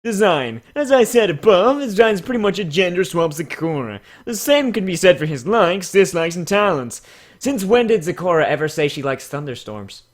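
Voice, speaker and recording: A man voicing a stern, articulate critic who gives measured, formal judgements in a comic dramatic reading. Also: high voice, very animated. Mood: measured, critical.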